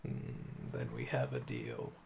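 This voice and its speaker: A man acting a calm, flirtatious yandere assassin in a roleplay audio, with a gravelly voice that drops to breathy murmurs and slow, teasing, menacing delivery. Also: rumbling low, slight background noise, exaggerated voice. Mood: teasing, seductive. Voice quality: gravelly, fry-laden, often dropping to breathy murmur. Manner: slow, teasing, seductive, drawling pet names.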